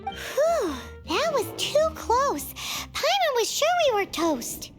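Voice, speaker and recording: A tiny floating fairy sidekick from a video game. The voice is extremely high, squeaky and childlike, swinging about excitably with exclamations. Music plays clearly behind it. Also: female voice, slow, full character voice. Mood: surprised, relieved. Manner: exclamatory, refers to self in third person.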